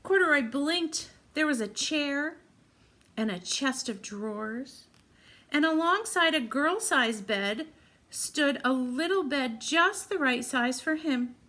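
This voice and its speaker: A woman reading a picture book aloud expressively to young children, putting simple questions to her listeners. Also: sits high. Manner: expressive, simple teacher-like phrasing addressed to children. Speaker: read-aloud storyteller for children.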